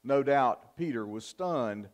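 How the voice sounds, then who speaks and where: Older guy pastor, steady earnest sermon, slows down to hit his points. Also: mid-range voice. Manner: measured, earnest preaching with emphatic pauses. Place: church sanctuary, at the pulpit. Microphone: fixed camera from the back of the church.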